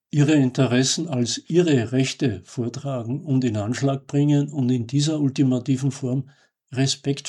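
A man reading a scripted commentary as a radio host, in a measured, formal and emphatic way. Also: mid-range voice, warm tone, slightly rough.

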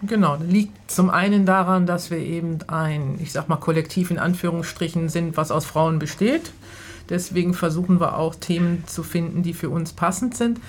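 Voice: medium pitch, fairly even intonation, moderate pace, a little hiss or hum. A guest from a women's theater collective explaining their work in a radio studio interview.

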